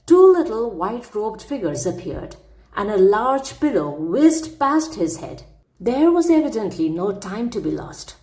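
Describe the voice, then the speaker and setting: A woman narrating a classic story as an audiobook, with measured, precise phrasing. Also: lowish voice, darkish tone. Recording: roomy, echoing sound.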